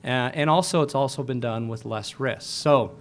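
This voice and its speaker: A man presenting a short business pitch to an audience, explaining his points and posing rhetorical questions. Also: normal speed, background noise. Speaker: financial planner, guest presenter.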